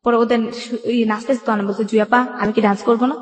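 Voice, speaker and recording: Fast-talking Bangladeshi woman, echoey recording. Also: a little high, little pitch movement. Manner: colloquial, acts out remembered dialogue. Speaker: guest telling their life story. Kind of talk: personal storytelling in a radio interview. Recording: echoey room with long reverb.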